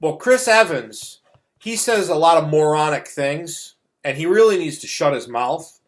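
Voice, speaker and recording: Male review host, middle-aged, higher-than-average pitch, exasperated and opinionated, casual delivery. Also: animated, measured pace. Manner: blunt, scornful, exasperated. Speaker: host of a movie review show. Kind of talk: casual opinionated movie rant.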